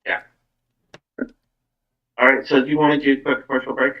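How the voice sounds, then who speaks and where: A man's voice: medium pitch, flat delivery, a little fast. A man with a Canadian accent hosting a casual live podcast as a psychic medium, chatting and making announcements. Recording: muffled, distant microphone.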